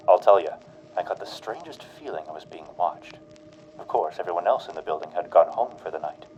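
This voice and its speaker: An actor playing a 1940s radio announcer and narrator, booming through the sponsor spots, then telling his own night of terror in a hushed, tense voice. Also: dull audio. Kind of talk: 1940s radio drama acting.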